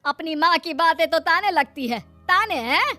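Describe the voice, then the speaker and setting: Woman acting nagging high-pitched cartoon mother. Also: expressive, unhurried, bright, forceful tone, faint music behind, heavily acted. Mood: taunting. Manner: scolding, taunting. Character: nagging, disapproving mother of grown daughter. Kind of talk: Hindi cartoon story acting.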